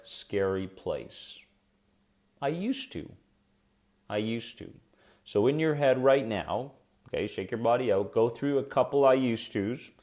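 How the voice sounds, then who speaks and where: A man's voice: medium pitch, mellow. A man hosting a live coaching stream on anxiety, chatty and encouraging, with a soothing voice, talking straight to his viewers and reacting to their comments.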